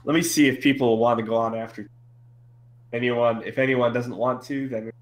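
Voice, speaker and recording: A man's voice: medium pitch, steady tone, moderate pace. A man running an online multiplayer game, answering briefly and distractedly. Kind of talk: casual gaming chat.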